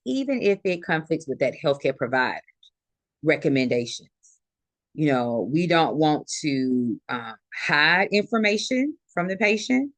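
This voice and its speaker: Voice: medium pitch, fairly bright tone, no echo. A nursing instructor talks through a recorded lecture in a loose, conversational way. Points come back again and again, padded with plenty of fillers and restarts. The sound is close and dry, with no room around it.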